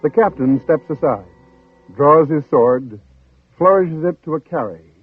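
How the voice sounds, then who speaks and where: A man narrating an old-time radio drama with solemn, measured, deliberate phrasing. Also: on the high side, expressive, breathy edge, dry recording. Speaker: narrator and program host. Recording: muffled old radio broadcast, faint music.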